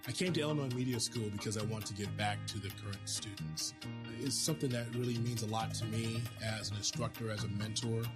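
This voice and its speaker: A man's voice: lowish voice, fairly even intonation, brisk. A middle-aged man, a radio broadcaster and instructor, giving a testimonial promo. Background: loud guitar music.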